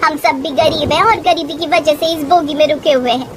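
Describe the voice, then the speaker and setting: Woman playing a helpful high-voiced lady passenger. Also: steady tone, brisk, noisy background, close to an everyday voice. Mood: reassuring. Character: helpful, reassuring woman passenger, a seasoned nurse.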